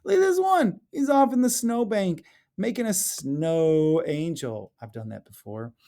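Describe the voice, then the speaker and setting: A man reading a picture book aloud as a teacher, chatting to children and explaining the pictures with expressive, drawn-out phrasing. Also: high-pitched, creaky. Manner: questions and chats to children, explaining illustrations.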